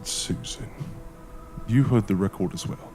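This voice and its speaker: An actor playing a determined, adventurous scholar in a period horror audio drama, speaking in a formal, old-fashioned, earnest way. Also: male voice, fairly low pitch, measured pace, mellow, close to an everyday voice. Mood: earnest, insistent. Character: determined, adventurous male scholar exploring ancient ruins.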